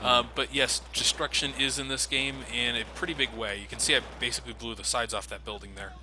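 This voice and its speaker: A man's voice: medium pitch, level tone, brisk. Sarcastic man commentating over noisy game combat. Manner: sarcastic, self-deprecating, profane. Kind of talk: casual let's play commentary. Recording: game combat noise behind voice. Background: video game gunfire and vehicles.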